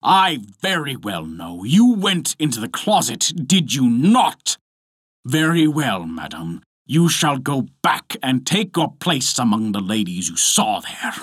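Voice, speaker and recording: A man's voice: mid-range voice, sing-song, measured pace, fairly bright tone, breathy edge, dry recording, clean studio sound, voice pushed up, exaggerated voice. Man voicing a cruel storybook husband, drawling out menacing commands. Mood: cold accusing.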